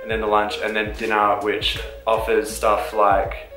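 A young man co-hosting a casual vlog, speaking in a relaxed, eager way. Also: fairly low pitch, a little slow, reverberant, faint music behind. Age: young adult. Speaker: vlog co-host. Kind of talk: casual chat. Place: bright indoor room, seated.

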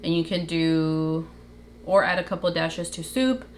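A young woman with a slightly low voice talks casually through her groceries, filling pauses with likes and ums. She is recorded on a camera in her home kitchen, with faint noise underneath. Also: steady tone. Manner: chatty, many likes and ums, holds up items to camera. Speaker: vlogger. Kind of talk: casual grocery haul show-and-tell.

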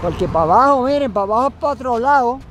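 A man from Puerto Rico with a Puerto Rican accent, vlogging casually as he walks outdoors, halting, with many fillers and restarts. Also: very high-pitched, animated, a bit bright, a little hiss or hum. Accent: Puerto Rican Spanish. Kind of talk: casual walking vlog. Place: outdoors, walking.

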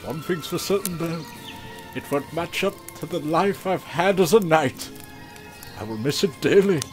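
Casting: male actor as pompous comic fantasy knight for audio drama, animated high voice, music underneath. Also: normal speed, natural voice. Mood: dejected. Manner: pompous, melodramatic, talking to himself. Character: pompous, hapless male knight, self-important and easily dejected.

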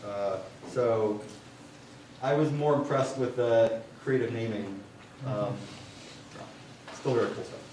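A man's voice: medium pitch, slow pace, pauses often, darkish tone. Young man in a very echoey hall. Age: young adult. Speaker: student presenter at a university science club. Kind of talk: informal presentation with slides. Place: university lecture hall. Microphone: far camera. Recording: distant room mic, very echoey, clear noise.

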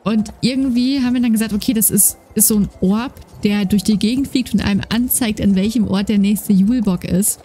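Give me a woman gaming on a desk mic, warm and chatty, with a slight lisp. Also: mid-range voice, fairly quick, few pauses, a little hiss or hum. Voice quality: slight lisp, soft trailing S sounds. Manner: chatty, rambling, many fillers. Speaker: gaming YouTuber. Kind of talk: casual let's-play commentary. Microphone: desk microphone with noise gate. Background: game music and horse hoofbeats.

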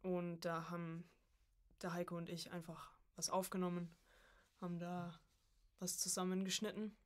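A woman's voice: lowish voice, flat delivery, normal speed. A young woman chatting casually as a guest on a podcast, a student of voice acting.

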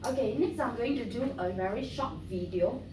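Brief: woman trainer, friendly and halting, heard from across a noisy room. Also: a little high. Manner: friendly, halting with many fillers. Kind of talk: classroom training talk. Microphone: far camera. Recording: roomy, distant, noisy.

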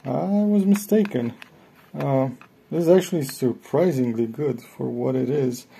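A man giving a casual, unscripted hobbyist review, thinking aloud in a halting way. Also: medium pitch, vocal fry, warm tone, slight background noise. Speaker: hobbyist reviewer of cassette tapes.

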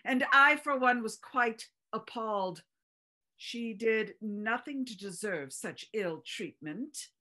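An actress playing a haughty, prim lady who scolds in a slow, reproving way. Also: on the high side, sharp tone.

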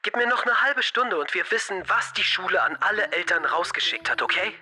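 Bright, lively teenage schoolboy hacker. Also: male voice, sits high, a little fast, exaggerated voice. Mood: tense, insistent. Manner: casual youth slang. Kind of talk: naturalistic audio drama phone dialogue.

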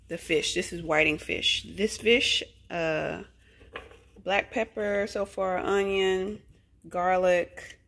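A woman's voice: fairly low pitch, vocal fry, fairly bright tone, reverberant. A woman in her forties chatting casually to her viewers as she films a cook-with-me vlog at home, laughing often. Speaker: vlogger. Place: home bathroom, then kitchen. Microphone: phone camera at arm's length.

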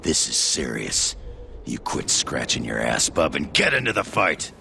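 An actor playing an irritable, commanding mutant superhero with a deep gravelly voice in a video game. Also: male voice, sing-song, measured pace, bright, forceful tone, a little hiss or hum, heavily acted. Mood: irritated. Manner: commanding, curt. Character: growling, no-nonsense, irritable male mutant superhero.

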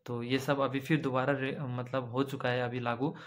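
Young man, casual explainer vlog, steady monotone delivery, filler pauses, phone camera at arm's length indoors. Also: medium pitch. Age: young adult. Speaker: vlogger explaining army canteen cards. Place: indoor room with bright windows behind.

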